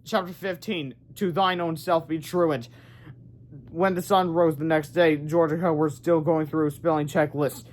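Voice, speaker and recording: A man reads a children's book aloud. His voice sits a bit higher than most men's as he narrates the story. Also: flat delivery, moderate pace, a little mellow, a little hiss or hum. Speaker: storybook reader.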